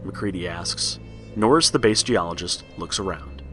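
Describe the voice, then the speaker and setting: A man's voice: low-pitched, moderate pace, a bit bright. A man narrating a horror tale as a scripted read-along storybook. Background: music.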